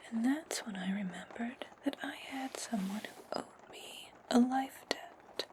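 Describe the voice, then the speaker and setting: A woman playing an imperious, scheming witch in a breathy, condescending whisper for an ASMR fantasy roleplay. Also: on the high side, rough recording. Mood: smug, scheming. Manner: slow, deliberate, condescending. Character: imperious, scheming witch giving orders to her servant.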